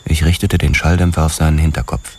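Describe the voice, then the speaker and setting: A man's voice: a bass voice, flat delivery, fast talker, background noise. A man playing a police detective who narrates his own crime story in a terse radio drama style. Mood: tense, terse. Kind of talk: scripted first-person radio drama narration. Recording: music under the voice. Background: music.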